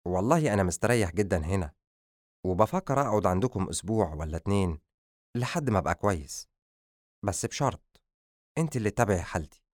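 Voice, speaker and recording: A man's voice: low voice, lively intonation, quick pace, pauses often, no room sound. Man acting weary lovestruck young man, dry close sound. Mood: playful. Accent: Egyptian. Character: ailing, lovestruck young man, gentle and playful. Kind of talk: audiobook character dialogue.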